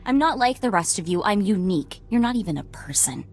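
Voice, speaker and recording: A woman's voice: medium pitch, lively intonation, brisk, soft background music, lightly acted. A woman voicing a defiant, self-important young clone in a video game, with intense, contemptuous delivery. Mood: bitter, scornful.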